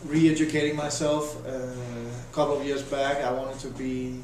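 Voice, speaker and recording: A man in his thirties with a Danish accent, answering interview questions in a halting, casual way with many restarts. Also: mid-range voice, level tone, darkish tone, a little hiss or hum. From Denmark. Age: 30s. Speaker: interviewee, volunteer volleyball coach. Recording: echoey room, voice from a distance.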